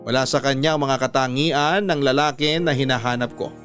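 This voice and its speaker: A man's voice: medium pitch, steady tone, fairly quick, a bit bright, faint music behind. A man narrating a scripted first-person story as a radio drama narrator reading out a letter.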